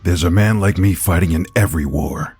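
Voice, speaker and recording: An actor playing a grave, weary veteran soldier who speaks in a measured, stoic way in a fantasy audio drama. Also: male voice, low-pitched, a little mellow, a little hiss or hum.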